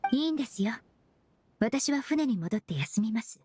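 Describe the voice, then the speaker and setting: An actress playing a calm, polite young woman perfumer in an anime game, precise and soft-spoken. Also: on the high side, moderate pace, background noise, natural voice. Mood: polite, easygoing. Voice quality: soft, breathy.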